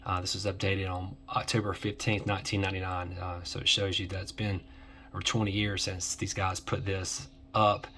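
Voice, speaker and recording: A man hosting an informal explainer commentary, halting as he repeats and restarts his phrases, with music behind him. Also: low voice, level tone, pushed and bright. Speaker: host of an eighties film nostalgia series.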